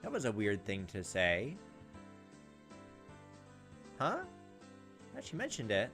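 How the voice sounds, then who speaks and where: A man's voice: on the low side, huge pitch swings, slow pace, a bit bright, faint music behind. A man doing a casual let's play, reading a visual novel aloud and adding his own informal asides. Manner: informal, reacts to the story as he reads. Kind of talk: casual gameplay narration with asides.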